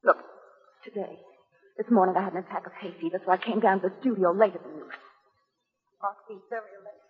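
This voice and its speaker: An actress playing a frightened, anxious wife in 1940s radio drama style. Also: female voice, mid-range voice, quick pace, slightly rough. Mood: anxious, confiding. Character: frightened, distraught wife confiding her fears. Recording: muffled, reverberant old radio recording.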